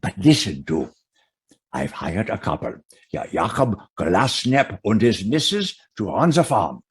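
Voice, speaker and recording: A very husky, eager farmer suitor. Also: male voice, lowish voice, very animated, heavily acted. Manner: halting, repeats himself as he pleads. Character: eager, nervous, tender immigrant farmer in love. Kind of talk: old-time radio western acting.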